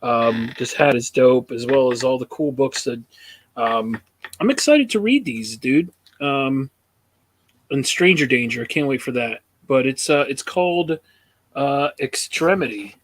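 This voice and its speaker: Man in his thirties, mid-pitched voice, laid-back, hesitant fillers, hosting. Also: expressive, measured pace. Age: 30s. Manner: laid-back, lots of ums and laughs. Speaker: host. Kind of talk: casual livestream chat.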